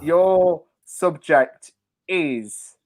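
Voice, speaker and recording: A woman hosting a panel game in a playful, excitable, teasing manner. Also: deep voice, very slow. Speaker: host of a panel show.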